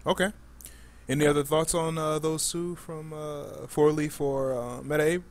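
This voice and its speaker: A man's voice: a little high, level tone, moderate pace. A man hosting a casual gaming discussion as the podcast's moderator. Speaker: podcast host.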